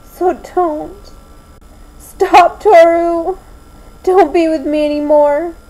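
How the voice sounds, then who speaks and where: Lady doing a solemn anime schoolgirl, high soft mellow voice, really unhurried, kinda gloomy. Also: steady tone, poor audio. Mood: sad, pleading. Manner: slow, deliberate, solemn delivery. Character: gloomy, soft-spoken teenage anime schoolgirl with eerie powers. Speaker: amateur voice actor auditioning. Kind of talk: anime fan dub audition, acted lines.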